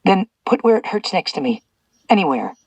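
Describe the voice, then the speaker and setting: A gentle young woman captive, raised and soft, begging earnestly for someone else's sake, as a man puts her on in an audiobook. The sound is dry and slightly husky. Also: sits high, big pitch swings, moderate pace, dry recording, voice pushed up, full character voice. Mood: pleading. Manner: pleading, earnest.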